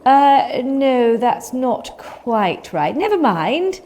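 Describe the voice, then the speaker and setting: A woman playing an eccentric, animated witch-alchemist. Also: high-pitched, drawn-out words, full character voice. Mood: earnest, mystical. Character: eccentric one-eyed female witch-alchemist, spiritual and eager. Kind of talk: comic audio drama acting.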